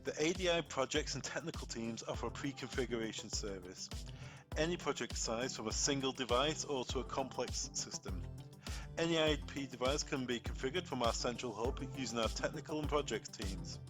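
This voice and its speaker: A man presenting a software training walkthrough in a plain, informal, instructional way. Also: mid-range voice, brisk, music in the background.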